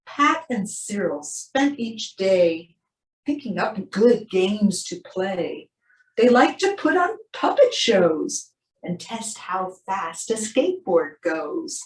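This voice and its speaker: A woman reading aloud to children in an expressive storybook style, drawing out key words for emphasis. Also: medium pitch, slow. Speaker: animal shelter presenter reading a picture book. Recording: roomy, distant microphone.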